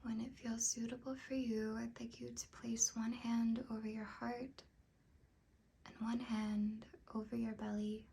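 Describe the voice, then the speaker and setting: A woman leading a guided meditation in a breathy, whispery ASMR voice, speaking gently and soothingly with long unhurried pauses. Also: on the high side, level tone, a little hiss or hum.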